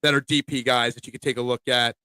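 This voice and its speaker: A man's voice: mid-range voice, little pitch movement, quick pace, bright, forceful tone, clean tone, no room sound, low-quality recording. A man hosting a casual sports betting preview show, chatting with his co-hosts.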